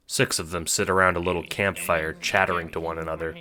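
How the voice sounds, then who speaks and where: A man narrating an audio drama in an expressive, scripted storytelling style, addressing the listener as the hero. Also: deep voice, a little fast. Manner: animated, expressive. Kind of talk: scripted second-person storytelling.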